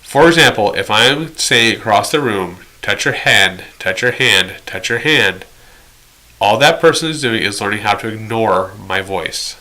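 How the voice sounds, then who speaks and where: A man delivers an explanatory training lecture in a slightly low voice with a very sharp, bright edge. He is direct and instructional, working through examples. He drops in conversational asides as he teaches. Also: expressive, unhurried, low-quality recording. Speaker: instructor in behavior therapy training.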